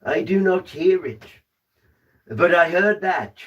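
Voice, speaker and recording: A man's voice: high voice, expressive, slow pace, a bit bright, recorded from a distance, lo-fi sound, a little hiss or hum, heavily acted. A man in a trance voicing a formal, old-fashioned male spirit guide who speaks through him at a séance. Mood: amused. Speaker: trance medium. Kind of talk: seance trance communication.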